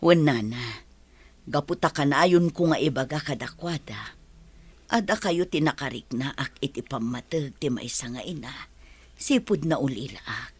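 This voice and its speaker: A woman playing a hardworking, grateful mother, firm but forgiving, in a Filipino radio drama, with a husky voice, Ilocano accent and earnest, expressive delivery. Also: very deep voice, normal speed, heavily acted. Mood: grateful, tender.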